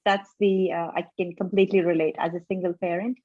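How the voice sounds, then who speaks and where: A woman's voice: fairly low pitch, flat delivery, a little fast, no room sound, narrow, tinny audio. A woman in her forties hosting an interview, enthusiastic and chatty with many fillers. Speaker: host. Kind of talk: interview host chat.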